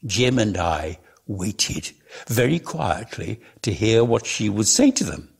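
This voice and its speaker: Husky male dramatic reader, very animated pitch. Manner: measured, theatrical, lingering on words. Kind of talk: dramatic reading of a literary story.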